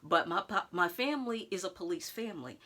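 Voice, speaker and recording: A woman vlogger telling a personal story straight to her viewers in a casual, animated way. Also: on the low side, fairly quick. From United States. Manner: animated, talks straight to viewers with "y'all", acts out others' lines.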